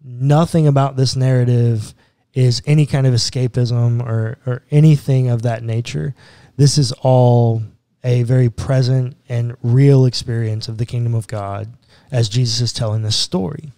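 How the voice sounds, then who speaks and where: A man in his thirties, a pastor, earnestly explaining a Bible passage step by step in a podcast conversation, close to a boom mic in a small studio. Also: dark tone, no room sound. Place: small studio room with bookshelves.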